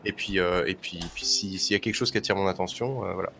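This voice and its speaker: Low-voiced man, halting player. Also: fairly even intonation, vocal fry, sharp tone, faint music behind. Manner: halting, full of fillers, describes actions step by step. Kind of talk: casual tabletop roleplay chat.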